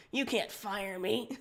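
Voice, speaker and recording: A woman's voice: medium pitch, slow pace, gravelly. Woman acting a brash, grumpy foul-mouthed guy. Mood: indignant. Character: brash, grumpy, foul-mouthed man who befriends monsters.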